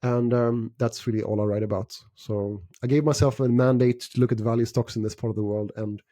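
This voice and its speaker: A middle-aged man from Sweden, answering interview questions in a measured way with frequent fillers and restarts. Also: medium pitch, steady tone, dark tone, dry recording, clean studio sound. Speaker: guest investor.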